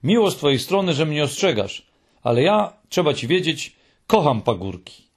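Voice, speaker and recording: A man's voice: a little high, big pitch swings, moderate pace, slightly rough, voice pushed up, exaggerated voice. A man voicing a cheerful, friendly, clueless male troll creature in an audiobook, with an eager, dreamy way of talking. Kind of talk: audiobook character voice.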